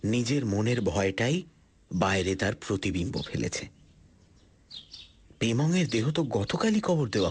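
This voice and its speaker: A man narrating a suspense story dramatically, in a scripted first-person style with deliberate pauses. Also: lowish voice, slight background noise. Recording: loud music under the voice. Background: loud background music.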